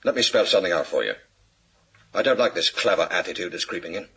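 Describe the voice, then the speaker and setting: An actor playing a pompous, image-obsessed senior British police chief in a radio thriller, formal and given to pauses. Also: male voice, low voice, animated, bright, forceful tone, slightly rough, exaggerated voice. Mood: stern, reprimanding. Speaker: actor in a radio crime drama.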